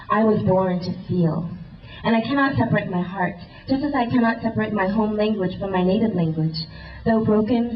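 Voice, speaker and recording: A woman's voice: medium pitch, muffled recording, a little hiss or hum. Young woman, spoken word poet, earnest rhythmic delivery, mid-range voice, distant and roomy live hall recording. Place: indoor event hall.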